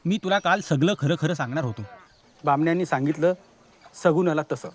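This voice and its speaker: A man's voice: on the high side, fairly quick, natural voice. An actor playing a shy lovesick village boy, speaking earnestly and pleadingly. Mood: earnest longing. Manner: earnest, pleading, rustic speech.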